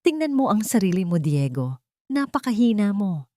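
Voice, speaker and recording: A woman's voice: medium pitch, sing-song, normal speed, warm tone, no room sound, clean studio sound, voice pushed up, lightly acted. A woman playing a haughty, bitter elderly rich mother who taunts with contempt, in an audio drama. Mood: scornful taunting. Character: haughty, cruel wealthy elderly mother, taunting her son.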